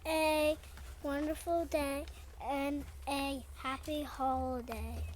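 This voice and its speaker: A woman's voice: high-pitched, little pitch movement, takes it slowly, noisy background. A woman reading scripted storybook narration for a readers theater performance.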